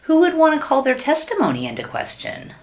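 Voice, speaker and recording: An actress playing a sweet, naive, adoring wife who asks guileless questions in a sitcom-style radio play. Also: female voice, high-pitched, huge pitch swings, a little fast. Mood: innocent, reproachful. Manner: innocent, earnest, guileless questioning. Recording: noisy track with clicks.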